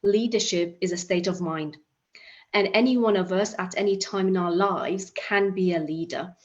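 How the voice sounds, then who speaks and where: Woman from Iraq, 30s, motivational speaker; earnest, halting delivery, muffled call audio. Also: mid-range voice. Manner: earnest, with many restarts and fillers. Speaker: summit speaker. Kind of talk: motivational talk.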